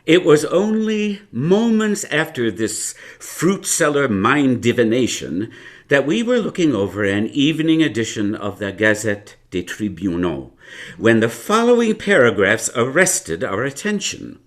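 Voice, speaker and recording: Haunted older uncle, radio drama narrator, very slow, grave, hesitant, expressive pitch. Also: male voice. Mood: grave storytelling. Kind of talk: live radio drama acting.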